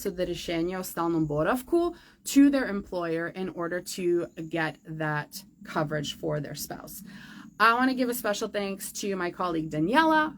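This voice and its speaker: A woman's voice: medium pitch, fairly bright tone, a little hiss or hum. A woman hosting a viewer-question show, chatting casually and upbeat, with frequent fillers. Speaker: host answering viewer questions. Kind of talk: casual chat to camera. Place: outdoor forest gazebo.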